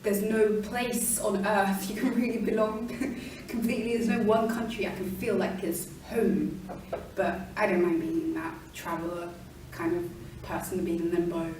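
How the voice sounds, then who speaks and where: A woman's voice: mid-range voice, fairly even intonation, unhurried, darkish tone, a little hiss or hum. A young woman, musing in an interview and hedging her words, in an indoor room. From London, England. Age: young adult. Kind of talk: reflective interview answers. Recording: echoey room, mic far off.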